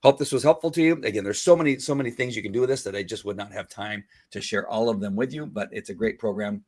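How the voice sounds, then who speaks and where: A middle-aged man hosting a live video show, walking through a screen-share tutorial in a chatty, rambling way with lots of fillers, recorded on a boom mic in a small home studio. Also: fast, darkish tone, dry recording. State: recovering from losing his voice. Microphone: studio mic on boom arm.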